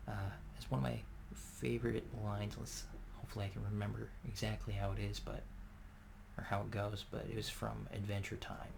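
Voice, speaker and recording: Soft-spoken gravelly low man. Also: noisy background. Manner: soft-spoken, rambling with many fillers. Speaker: ASMR creator. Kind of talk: soft-spoken ASMR ramble.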